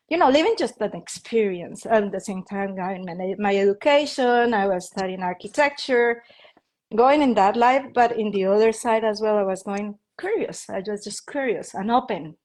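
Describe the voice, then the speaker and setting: A woman's voice: medium pitch, darkish tone. Chatty Colombian woman in her fifties. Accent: Colombian Spanish. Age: 50s. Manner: rambling, with frequent "like" fillers and light laughs. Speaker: interview guest, feng shui and Reiki practitioner. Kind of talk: casual interview storytelling.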